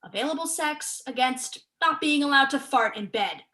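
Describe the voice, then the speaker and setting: A young woman playing a sharp-tongued, guarded writer in a staged play reading, delivered in a dry, exasperated, cutting way. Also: sits high, lively intonation, moderate pace. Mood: scornful, exasperated. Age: young adult. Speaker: actor in a student staged reading.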